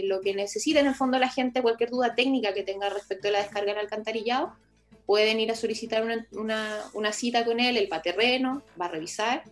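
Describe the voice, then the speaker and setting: A woman with a Chilean accent speaking as a municipal official in an interview, explaining at length and often rephrasing. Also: on the high side. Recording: music playing behind the voice.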